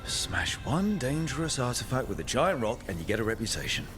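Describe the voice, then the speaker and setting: A man's voice: mid-range voice, big pitch swings, brisk, music in the background, close to an everyday voice. An actor voicing an easygoing young male adventurer hero in a fantasy video game, with a casual, dryly humorous delivery. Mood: relaxed, wry. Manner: casual, dry humour.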